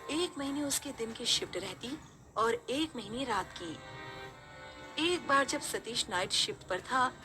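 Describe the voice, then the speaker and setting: A woman's voice: a little high, lively intonation, measured pace, over music. A woman narrating a scripted horror story.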